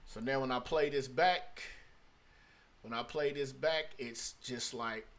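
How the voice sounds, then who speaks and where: A man's voice: mid-range voice, slow pace, very noisy. A man giving a casual tutorial as a music producer, thinking aloud in a rambling way and often repeating his phrases. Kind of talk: casual screen-recorded tutorial talk. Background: hip-hop beat loops playing.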